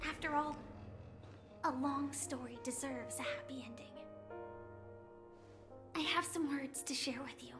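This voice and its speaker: Young female game warrior, high pitch, gentle, resolute, soft-spoken, prominent background music. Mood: tender, hopeful. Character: gentle, resolute young woman warrior ready for self-sacrifice. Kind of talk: anime game voice acting.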